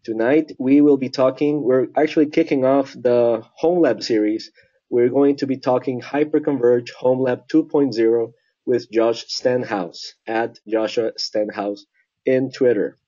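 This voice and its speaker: Mellow-voiced male show host. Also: mid-range voice, steady tone, muffled recording. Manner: friendly, halting, many fillers. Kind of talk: show hosting introduction.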